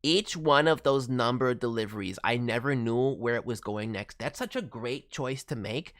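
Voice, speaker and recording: A man's voice: medium pitch, moderate pace, a bit bright. A man hosting a casual livestream as a voice director, giving feedback in a playful way with cutesy turns of phrase. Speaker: voice director, streamer host. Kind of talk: livestream critique of demo reels, casual.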